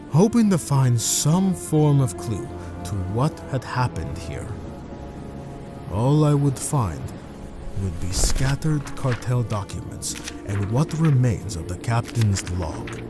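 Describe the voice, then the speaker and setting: Guy acting as a haunted sailor telling a grave sea story, formal and measured, voice really rises and falls, music behind. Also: medium pitch, big pitch swings. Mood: grave, uneasy. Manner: measured, solemn, formal phrasing. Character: haunted sailor, first mate, recounting a sea tale. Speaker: narrating character in an audio drama. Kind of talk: dramatic scripted first-person storytelling.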